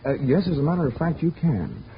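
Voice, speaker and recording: Male actor as a wisecracking investigator who flirts his way through a 1940s radio play; animated, muffled broadcast sound. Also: a little high, quick pace, slight background noise, close to an everyday voice. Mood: flirty. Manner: wry, teasing, smooth-talking. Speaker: insurance investigator. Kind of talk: 1940s radio drama acting and narration.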